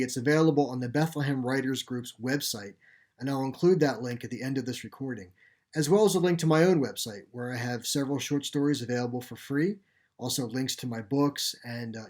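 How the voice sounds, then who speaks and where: A man reading as an author and storyteller, in expressive scripted narration. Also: mid-range voice.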